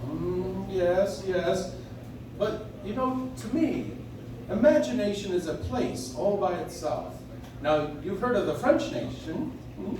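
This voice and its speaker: Guy doing a kindly old santa type, high voice, playful, radio play, very echoey far off. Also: animated, slow. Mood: whimsical, patient. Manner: jolly, encouraging, old-fashioned exclamations and chuckles. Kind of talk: live stage performance in 1940s radio-play manner. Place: live stage in a coffee house. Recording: noisy, echoey audience recording from far off.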